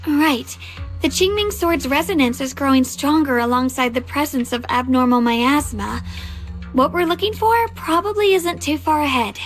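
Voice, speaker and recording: An actress voicing an earnest, trusting young swordswoman in a fantasy video game, expressive and sincere. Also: sits high, normal speed, faint music behind, close to an everyday voice. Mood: serious, explaining. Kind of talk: English video game voice acting.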